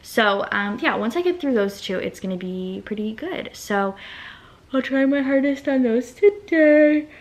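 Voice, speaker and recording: A young woman chatting casually at home in a vlog, rambling and thinking out loud about her day and small business work. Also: on the high side, animated, a little slow. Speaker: vlogger and small business owner.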